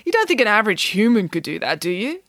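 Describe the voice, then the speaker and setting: A woman's voice: medium pitch, big pitch swings, quick pace, a bit bright, no echo, clean studio sound, voice pushed up. A woman voicing a glamorous, boastful pig nanny in an audiobook, grandly and emphatically explaining nonsense as fact. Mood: indignant, haughty. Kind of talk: audiobook character voice.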